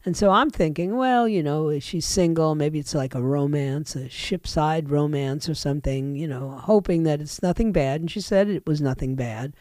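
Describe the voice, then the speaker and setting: A woman's voice: low-pitched, normal speed, hardly any pauses, a little mellow, no echo. A woman talk radio host with a head and chest cold, chatting in a rambling way that keeps interrupting itself, at a studio microphone. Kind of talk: casual talk radio monologue.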